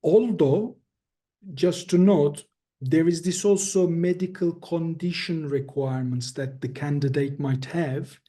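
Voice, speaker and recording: Hesitant, mellow-voiced man explaining. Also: fairly high pitch, measured pace. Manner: careful, hesitant explaining with frequent restarts. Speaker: expert guest. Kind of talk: webinar Q&A answers.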